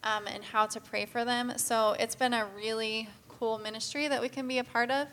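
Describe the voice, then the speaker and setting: Missionary woman addressing a congregation, a bit high and very bright, chatty with many fillers. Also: fairly even intonation, moderate pace, a little hiss or hum. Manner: casual, filler-heavy, earnest. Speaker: missionary speaker. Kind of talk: church presentation talk.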